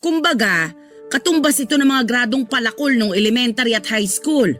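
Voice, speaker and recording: A strict Filipino mother in a radio drama, nagging and sarcastic. Her voice sits on the high side, with faint music behind it. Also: expressive, normal speed, fairly bright tone. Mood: scolding, sarcastic. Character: strict, scolding mother of a student son. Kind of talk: Tagalog radio drama acting.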